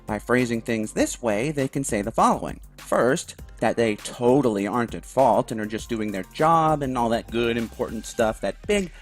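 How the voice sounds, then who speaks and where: A man's voice: medium pitch, lively intonation, normal speed, few pauses. A man giving conversational opinion commentary in an emphatic, wry manner with some sarcasm. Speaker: video commentator. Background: background music.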